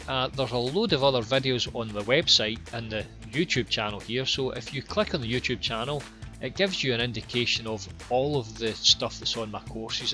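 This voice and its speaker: A Scottish man guiding viewers through his online course with plain, unhurried explanations and a few ums and repeated words. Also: medium pitch, pushed and bright. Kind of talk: screen-recorded course walkthrough.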